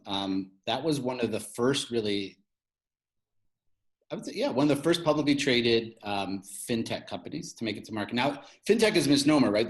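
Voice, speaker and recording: A man speaking as an interview guest, explaining his field in an informal, conversational way. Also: mid-range voice. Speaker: interview guest, fintech media founder.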